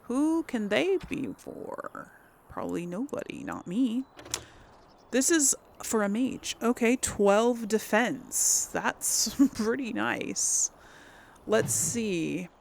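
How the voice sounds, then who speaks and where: A woman's voice: fairly high pitch, big pitch swings, slow, creaky, a little hiss or hum. A woman hosting casual video game commentary, thinking aloud as she plays. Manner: thinks aloud while playing. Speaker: gaming host.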